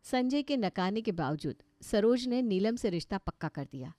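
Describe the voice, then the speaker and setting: A woman's voice: medium pitch, expressive, moderate pace, mellow, dry recording, clean studio sound. An Indian-accented woman giving scripted storybook narration.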